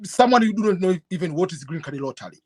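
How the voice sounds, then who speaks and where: Earnest Tanzanian man, measured answers. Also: high-pitched, lively intonation, slightly rough, no room sound. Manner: earnest, measured, with fillers and restarts. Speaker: guest YouTuber. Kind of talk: interview answers, storytelling.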